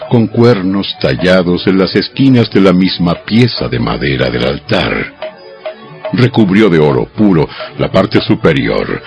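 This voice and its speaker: A man reading scripture as a dramatized audio Bible narrator, with measured, deliberate phrasing. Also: sits low, creaky. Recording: dull lo-fi audio over music. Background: background music.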